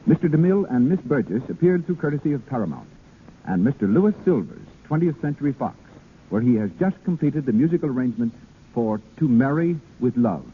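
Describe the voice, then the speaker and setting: A man's voice: mid-range voice, big pitch swings, brisk, phone-quality sound, a little hiss or hum. An American man reading scripted announcements as a 1930s radio announcer. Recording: thin vintage radio broadcast.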